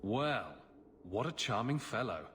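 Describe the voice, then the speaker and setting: A man's voice: mid-range voice, big pitch swings, unhurried, a bit bright, a little hiss or hum. A man playing a sardonic young adventurer hero in a video game, dry-witted and wry.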